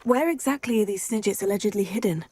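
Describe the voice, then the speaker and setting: An actress playing a composed, sympathetic teenage student witch in a video game cutscene, speaking in a measured, polite way. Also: medium pitch, a little mellow, lightly acted. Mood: curious, measured.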